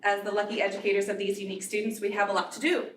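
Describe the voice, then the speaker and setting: A woman's voice: medium pitch, flat delivery, very fast. A woman teacher of English learners giving an earnest prepared speech at a board meeting. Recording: echoey room from far off, noisy.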